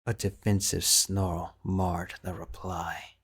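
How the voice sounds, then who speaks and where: A man narrating a fanfic audiobook in a measured, grave storytelling manner. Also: sits low, flat delivery, a bit bright, husky. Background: background music.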